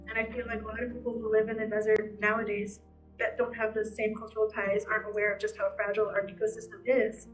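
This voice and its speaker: A woman's voice: medium pitch, low-quality recording. A woman in her thirties speaking firmly and articulately in a documentary interview. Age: 30s.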